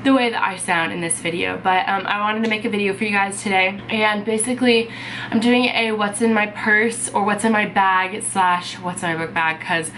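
A woman's voice: mid-range voice, steady tone, normal speed, a bit bright, slight background noise. A congested young woman, chatting casually to camera as a beauty vlogger in her bedroom, full of "um" and "like". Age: young adult. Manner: chatty, many fillers like "um" and "like". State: sick and congested. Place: bedroom, indoors.

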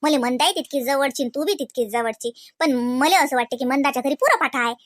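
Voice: high-pitched, quick pace, a bit bright. A performer voicing chatty, fussy village women in a comedy cartoon, with a rural Varhadi Marathi accent and a nagging, colloquial way of talking full of questioning tags. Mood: insistent, nagging. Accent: rural Varhadi Marathi, Vidarbha. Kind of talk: comedy cartoon dialogue in rural household chat.

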